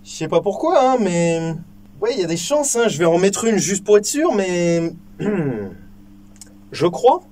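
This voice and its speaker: Lively joking young man. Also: high voice, slow pace, a little hiss or hum. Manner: joking, swears casually, many fillers. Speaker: firearms video host. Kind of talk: casual chatty vlog. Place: small indoor shooting booth.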